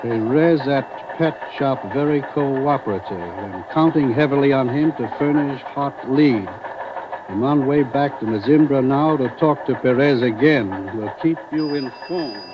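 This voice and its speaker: An actor playing a brisk, authoritative commissioner with clipped delivery in an old-time radio drama. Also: male voice, mid-range voice, noisy background, close to an everyday voice. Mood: businesslike. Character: brisk, authoritative American commissioner reading reports aloud. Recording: dull vintage radio recording.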